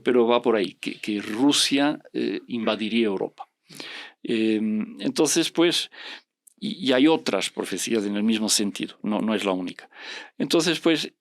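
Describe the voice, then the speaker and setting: A man whose first language is Portuguese, speaking as a podcast guest in a relaxed, reflective way, pausing often with fillers and restarting his sentences. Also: medium pitch, no echo, clean studio sound. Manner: hesitant, full of fillers and restarts; reflective, earnest. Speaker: podcast guest discussing religious prophecy. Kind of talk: casual conversational explanation.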